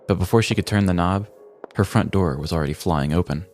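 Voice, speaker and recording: Male podcast host, very low voice, scripted comedic crime narration over a music bed. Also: fairly quick, a little mellow.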